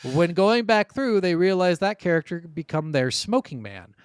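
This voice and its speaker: A man co-hosting a casual gaming podcast, telling stories from his notes in an animated, chuckling way. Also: high voice, normal speed, smooth, dry recording, studio quality. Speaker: podcast co-host.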